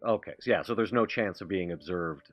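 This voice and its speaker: A man playing a tabletop roleplay, describing things in a halting, detailed way with many pauses. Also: deep voice, faint music behind. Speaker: player.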